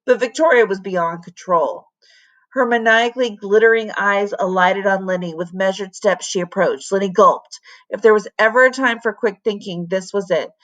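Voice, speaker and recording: A woman, a teacher, reading a children's story aloud with dramatic, deliberate expression. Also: medium pitch, a bit bright.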